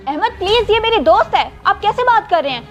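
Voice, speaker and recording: A woman's voice: extremely high pitch, lively intonation, fast, faint music behind. A woman acting an impulsive, easily swayed young wife in a moral-story drama. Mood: upset, angry.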